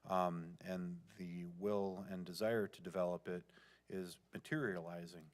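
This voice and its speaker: An American man giving formal remarks as a city council member, in deliberate and halting phrases. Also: low-pitched, fairly even intonation.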